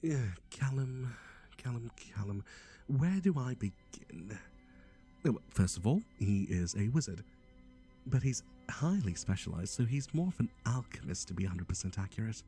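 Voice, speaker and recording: A man's voice: medium pitch, very animated, moderate pace, warm tone, husky, soft background music. A man playing a chatty, playful matchmaker in an ASMR roleplay, with a theatrical, teasing, conversational delivery. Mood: theatrical, amused. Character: chatty, playful male matchmaker for obsessive yandere boyfriends.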